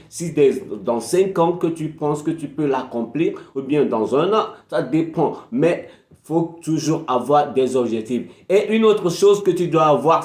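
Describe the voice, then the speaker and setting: A man's voice: mid-range voice, a little mellow, roomy sound. A man giving an emphatic, preachy motivational talk, repeating phrases for effect. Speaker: motivational speaker. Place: indoor room at a desk with a PC.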